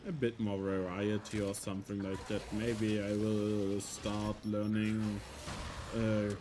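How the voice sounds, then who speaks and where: A man with a German accent, a German native speaker, casually talking through a game on stream, thinking aloud with hesitant, halting phrasing. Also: on the low side, completely flat delivery, background noise. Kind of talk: casual gameplay commentary.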